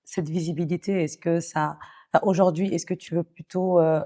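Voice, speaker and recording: Female interviewer, slightly low register, warm and dark tone, casual, hesitant phrasing. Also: steady tone, normal speed. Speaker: podcast host, interviewer.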